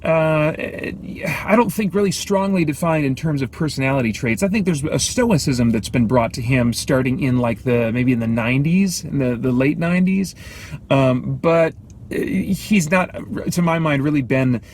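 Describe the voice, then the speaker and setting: Higher-pitched man reviewing casually, noisy background. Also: a little mellow. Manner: halting, thinking aloud, measured opinions. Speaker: movie review host. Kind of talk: casual off-the-cuff film review commentary.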